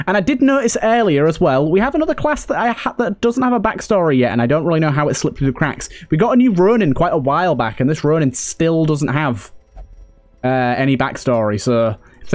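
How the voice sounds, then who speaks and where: A man's voice: a little high, darkish tone. A man hosting a let's play, chatting casually and thinking aloud as he goes. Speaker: gaming video host.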